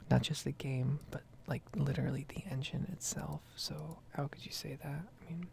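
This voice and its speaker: A woman giving a casual, rambling gaming monologue in a soft, breathy ASMR whisper, close to the microphone. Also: low voice, fairly even intonation, moderate pace, noisy background. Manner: casual, rambling, mild swearing.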